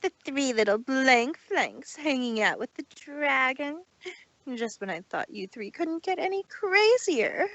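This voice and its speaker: A woman's voice: high voice, animated, unhurried, a bit bright, no room sound. A woman voicing a haughty, sneering pony in an amateur cartoon fan reading, with theatrical, taunting delivery. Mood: smug taunting. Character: haughty, sneering young filly bully and boastful showmare. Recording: dull, lo-fi home recording.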